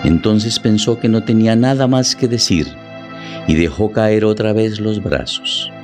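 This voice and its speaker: A man narrating a literary drama in a solemn, deliberate way, with music behind his voice. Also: on the low side.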